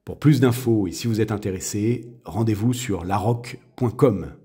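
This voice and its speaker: A man reading a scripted sponsor message in an even, measured way. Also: on the low side, breathy edge. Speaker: sponsor announcer. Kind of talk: scripted ad read.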